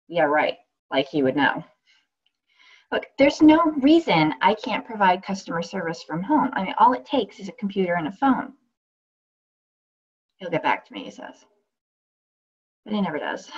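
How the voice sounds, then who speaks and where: Husky, animated, wry housebound woman read by an actress. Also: mid-range voice. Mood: exasperated. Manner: sarcastic, exasperated, conversational. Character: wry, housebound injured woman telling her story. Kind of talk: dramatic monologue reading. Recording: dull, lo-fi sound.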